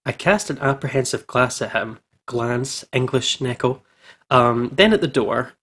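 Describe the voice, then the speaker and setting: A man's voice: medium pitch, unhurried, a bit bright. A man hosting a let's play, casually commenting and reading visual novel text aloud in an informal, jokey way. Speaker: video game let's play host.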